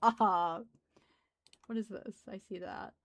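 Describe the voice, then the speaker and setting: A young woman with a gruff Midwestern accent, chatting casually and thanking viewers on a live stream from her small home office. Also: medium pitch, slow. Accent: Midwestern American. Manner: bubbly, thanking viewers, laughs often.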